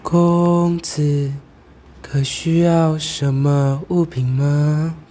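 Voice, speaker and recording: A man's voice: fairly high pitch, level tone, drawn-out words, mellow, slight background noise. A teenage male student playing a commanding, grieving ghost of a noble wife in an amateur radio drama, speaking formally.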